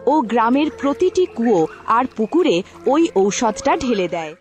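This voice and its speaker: A woman's voice: a little high, sing-song, unhurried, faint music behind. A woman reading scripted fairy-tale narration for a cartoon.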